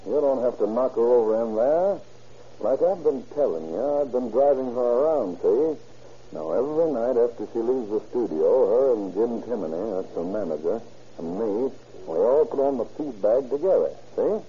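A man playing a slangy, scheming crooked chauffeur with a husky voice in an old radio crime drama. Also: medium pitch, expressive, moderate pace, slight background noise, lightly acted. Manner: slangy, ends phrases with "see?". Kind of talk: 1930s-40s radio crime drama acting. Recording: muffled old radio recording.

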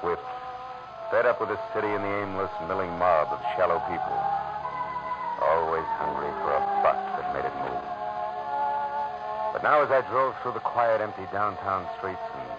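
An actor playing a weary, hard-boiled private detective with an American accent, in 1940s radio noir style, clipped and wry. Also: male voice, sits low, lively intonation, normal speed, long pauses. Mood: reflective. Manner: world-weary, clipped wisecracking. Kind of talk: 1940s radio noir acting and first-person narration. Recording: muffled old radio recording with music.

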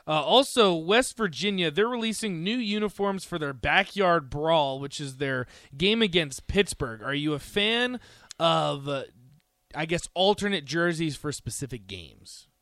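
A man in his twenties hosting a sports radio show, upbeat and chatty, with big swings in loudness. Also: a little high, animated, measured pace, dry recording, studio quality. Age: 20s.

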